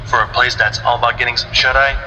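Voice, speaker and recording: A man's voice: mid-range voice, flat delivery, quick pace, pushed and bright, muffled recording. A man playing a wry, weary animation studio employee in a video game audio log, with a dryly sardonic delivery. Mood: wry, grumbling. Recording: distant, long reverb, faint noise.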